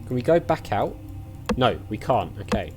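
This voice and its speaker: Lively man chatting through a video game. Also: medium pitch, moderate pace, loud music behind the voice. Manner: chatty, thinking aloud in questions. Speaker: video game player and channel host. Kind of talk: casual let's-play commentary.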